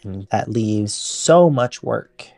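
A woman voicing a brisk, motherly female assistant camp director who is cheerfully overbooked. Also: very deep voice, lively intonation, creaky, dark tone, no echo, studio quality, faint music behind, exaggerated voice. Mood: chatty. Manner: fast, businesslike, cheerfully overbooked.

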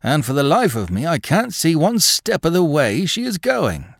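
A man's voice: a little high, very animated, moderate pace, vocal fry, no echo, studio quality, pitched above the performer's own voice, heavily acted. A man voicing a bothered, plain-spoken police detective who sounds doubtful and perplexed in a story. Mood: puzzled, uneasy.

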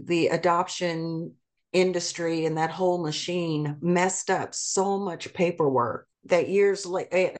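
A middle-aged woman talks in a calm, earnest way, careful with every detail. Her voice sits a little low for a woman. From South Korea. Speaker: podcast guest. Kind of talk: conversational interview.

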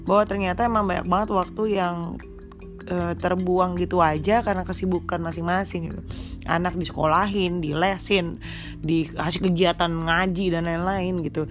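A woman's voice: fairly low pitch, steady tone, moderate pace, faint music behind. A young woman with a stuffy, congested nose, chatting casually and teasingly to mothers as a podcast host. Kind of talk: casual chat to mothers, mixing in English. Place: small rented room.

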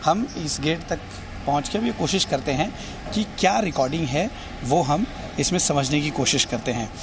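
Male field reporter, high-pitched voice, explanatory on-location delivery, clearly noisy city exterior. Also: normal speed. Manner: explanatory, demonstrative, addressing viewers directly. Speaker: television news field reporter. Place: outdoors on city streets. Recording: noisy outdoor urban location with traffic.

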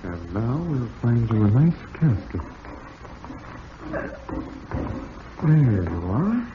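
An actor playing a smooth-talking, falsely cheerful husband with murder in mind, in old-time radio drama style. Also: male voice, medium pitch, very animated, a little slow. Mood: coldly menacing. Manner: suave, falsely cheerful, darkly ironic. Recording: dull, noisy vintage radio recording.

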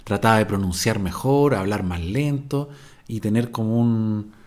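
A man's voice: lowish voice, measured pace, a little mellow. A middle-aged man from Viña del Mar with a Chilean Spanish accent, hosting his podcast in an improvised, rambling, digressive monologue full of slang and swearing. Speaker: podcast host.

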